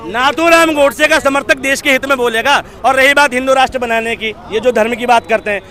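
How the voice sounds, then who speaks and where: A man's voice: very high-pitched, fairly even intonation, fairly quick, pushed and bright, a faint crowd. A man debating combatively on the street, challenging others and repeating his points. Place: outdoor street with a crowd.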